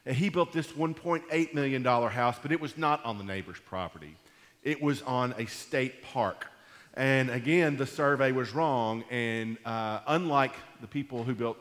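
A man preaching to his church in a folksy, rambling way, his voice gone deep and congested from allergies. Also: fairly bright tone, in a large hall. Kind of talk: sermon. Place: church sanctuary.

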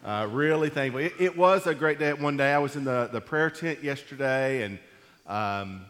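A man preaching to his church in a folksy, rambling way, his voice gone deep and congested from allergies. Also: normal speed, fairly bright tone, big-room sound. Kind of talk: sermon. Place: church sanctuary.

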